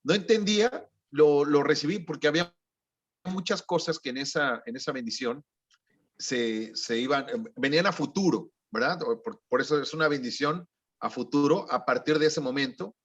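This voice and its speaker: A man's voice: on the high side, normal speed, a bit bright. A man, a former missionary, frankly recalling his past in a conversational interview. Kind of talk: candid interview recollection. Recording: dull lo-fi audio.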